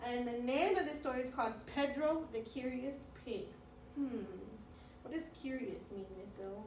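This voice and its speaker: High voiced lady doing kids read aloud, echoey room, mic kinda far off. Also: normal speed. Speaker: preschool teacher reading a story aloud. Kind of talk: children's read-aloud and lesson chat. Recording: roomy, distant mic, faint noise.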